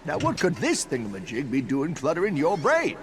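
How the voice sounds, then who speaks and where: An old plumber guy, gravelly and folksy, voice goes up and down a ton, music under it. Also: fairly high pitch, wildly swooping pitch, fairly quick, background noise. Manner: folksy drawl, rambling. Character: folksy old male plumber, chatty and kind. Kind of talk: video game cutscene acting.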